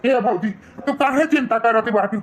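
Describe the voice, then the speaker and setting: A performer with a pitched-up voice and an eastern Uttar Pradesh accent, voicing a pushy, swaggering village man in a comedy cartoon. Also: normal speed. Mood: cajoling.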